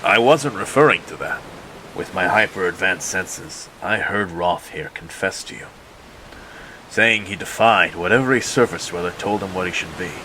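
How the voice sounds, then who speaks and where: A man playing a proud, stern king of an undersea realm in a superhero audio drama, speaking formally and deliberately. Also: deep voice, bright, forceful tone, a little hiss or hum, rough recording. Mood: grave, conciliatory.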